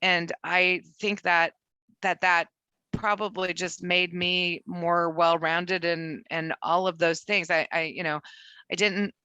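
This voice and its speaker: A woman's voice: lowish voice, level tone, slow, bright, forceful tone, clear, resonant tone, no room sound, lo-fi sound. A woman instructor talking over a video call in a chatty way, with many restarts and a lot of "you know". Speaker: economics and finance instructor.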